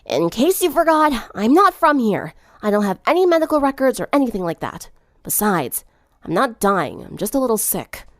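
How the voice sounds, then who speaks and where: A woman's voice: on the high side, very animated, normal speed, dry recording, studio quality, voice pushed up. A woman voicing a stubborn, sick teenage girl in a story reading, weary and groggy as she brushes off her friends. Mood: stubborn, dismissive.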